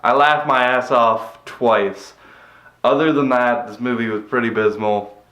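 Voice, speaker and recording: A man with a flat voice giving a rambling, cynical movie review, swearing casually, recorded in an empty room after a move. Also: medium pitch, measured pace, echoey room, low-quality recording.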